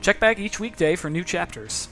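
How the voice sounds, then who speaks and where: An author narrating a children's novel in an expressive, theatrical way, addressing listeners directly. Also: medium pitch, normal speed, music in the background.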